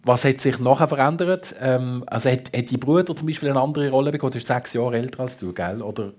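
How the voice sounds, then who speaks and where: A man's voice: mid-range voice, quick pace, a little mellow. A man with a Swiss German accent, interviewing conversationally and attentively as host. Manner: attentive, frequent short acknowledgements.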